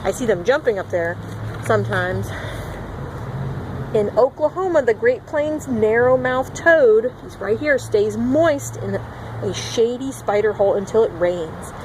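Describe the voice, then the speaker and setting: A woman's voice: mid-range voice, noisy background. A woman reading a picture book aloud to young children as a teacher, expressively, with simple asides and acted-out sound words.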